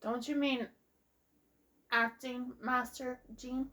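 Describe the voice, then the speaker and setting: A woman's voice: high voice, level tone, takes it slowly, a bit bright, slight background noise. A woman giving casual gameplay commentary as she plays. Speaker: gamer, let's player.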